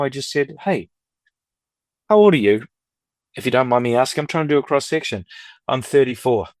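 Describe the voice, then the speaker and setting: A man in his fifties talks at length as a podcast guest, rambling from one thought to the next with an upbeat energy. His pitch sits in an ordinary middle range, and the sound is dry and close with no room around it. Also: no echo. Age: 50s. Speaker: guest, record collector and dealer. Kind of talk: casual interview chat.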